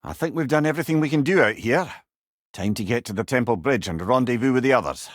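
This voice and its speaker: A man playing a wise, witty older companion in a video game, with a Scottish accent and a wry, avuncular, expressive manner. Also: mid-range voice, quick pace, no echo, clean studio sound, close to an everyday voice. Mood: guiding, calm. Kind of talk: video game character dialogue.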